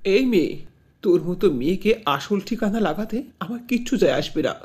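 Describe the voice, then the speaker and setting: A menacing village uncle from a cartoon fairy tale, acted by a woman. The voice is rough and husky, with big swings of intonation. He sneers and threatens like a schemer used to getting his way. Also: medium pitch, lively intonation, normal speed, darkish tone.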